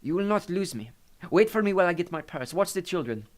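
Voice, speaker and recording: A male narrator plays a loving Italian mother in an audiobook story. Her voice is pitched well up, with an Italian accent, and she is gentle yet immovable and trusting. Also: expressive, fast. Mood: tender, confident.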